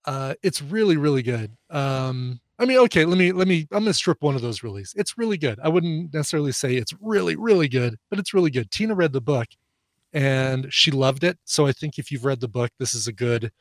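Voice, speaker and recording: A man's voice: mid-range voice, lively intonation, a little fast, no room sound, studio quality. A man co-hosting a chatty show and recommending a film, rambling, with lots of fillers and laughs. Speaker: podcast co-host and film recommender. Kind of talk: casual chat.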